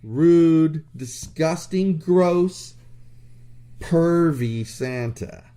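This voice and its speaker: A man co-hosting a podcast, telling a story in a blunt, animated way with crude jokes. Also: on the high side, drawn-out words. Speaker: podcast co-host. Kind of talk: casual chat.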